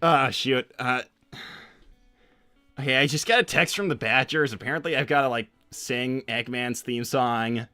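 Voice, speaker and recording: A man's voice: medium pitch, sharp tone, no echo, faint music behind. A man voicing a casual young hedgehog hero in a cartoon livestream, hesitant and slangy as he talks. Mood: sheepish, flustered. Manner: hesitant, halting slangy teen-style talk. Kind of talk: improvised in-character livestream Q&A.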